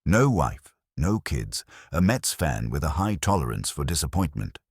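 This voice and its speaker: Guy doing a breezy wry reporter in a detective story, brisk, deep husky voice. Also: very animated, dry recording, studio quality, full character voice. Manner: brisk, wisecracking. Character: breezy, wry male newspaperman on the telephone. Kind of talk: detective audiobook dialogue.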